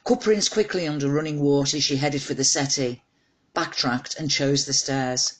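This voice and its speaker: A woman's voice: low-pitched, moderate pace. A woman narrating a scripted short story as its author, in a wry, dramatised reading.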